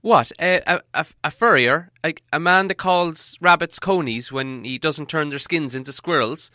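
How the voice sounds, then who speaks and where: A man's voice: fairly high pitch, normal speed, sharp tone, no echo, studio quality, voice pushed up. A man voicing a timid, puzzled male hobbit who stammers as he asks. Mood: flustered. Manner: stammering, hesitant.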